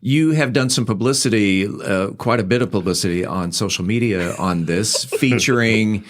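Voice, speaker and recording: A man interviewing a guest on a podcast, conversational, putting his questions in a halting, deliberate way. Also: on the low side.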